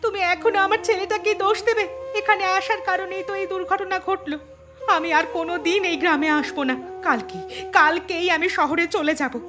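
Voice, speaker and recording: A woman voicing a sharp-tongued, protective mother in a Bangla cartoon. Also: extremely high pitch, fairly even intonation, a little fast, over music. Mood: angry.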